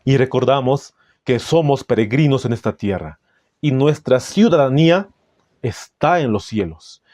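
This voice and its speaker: A man with a Bolivian Spanish accent preaching in a solemn, didactic way, reading out passages with deliberate phrasing. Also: medium pitch, darkish tone, no echo, studio quality. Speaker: Adventist preacher. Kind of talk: sermon with scripture and book readings.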